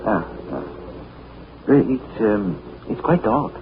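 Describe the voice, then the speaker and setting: A stammering, timid manservant. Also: male voice, fairly low pitch, lively intonation, slow. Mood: flustered. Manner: stammering, overly polite, saying sir and madam. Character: flustered, polite, timid manservant. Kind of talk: 1940s radio acting. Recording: tinny old radio broadcast with loud hiss.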